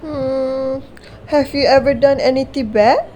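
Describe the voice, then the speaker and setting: Consoling female best friend, played by a woman, highish voice. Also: fairly even intonation, unhurried, dark tone, slight background noise. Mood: attentive. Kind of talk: amateur radio drama acting.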